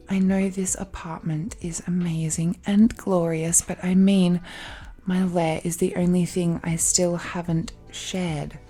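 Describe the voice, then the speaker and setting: A woman playing an affectionate, possessive young dragon-blooded bride-to-be in an intimate romantic audio roleplay, speaking in a breathy, giggly, hesitant way. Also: on the low side, flat delivery, slow, pauses often, mellow, faint music behind. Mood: tender, nervous.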